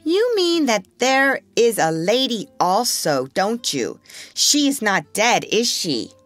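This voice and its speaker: A woman's voice: a little high, very animated, slow pace, a bit bright, no echo, pitched above the performer's own voice, exaggerated voice. A woman voicing an anxious little boy who asks pleading questions.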